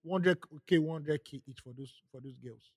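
A young Nigerian man co-hosting a podcast, retelling stories with slow, emphatic stress. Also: fairly high pitch, breathy edge, no echo, studio quality. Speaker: podcast co-host. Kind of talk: casual chat.

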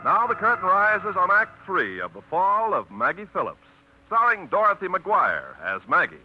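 Brief: man announcer, very animated pitch, formal, muffled old radio. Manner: measured, declamatory. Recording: muffled 1950s radio broadcast, faint music.

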